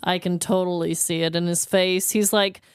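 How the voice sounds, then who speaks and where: A woman's voice: lowish voice, level tone, normal speed, clean tone, no echo, clean studio sound. A woman in her thirties co-hosting with casual comedic banter, mocking and slangy. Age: 30s. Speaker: podcast co-host.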